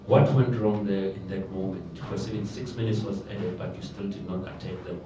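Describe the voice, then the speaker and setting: A man's voice: sits low, fairly quick. A man, a sports reporter, putting a challenging question at a press conference. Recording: echoey noisy room, distant mic.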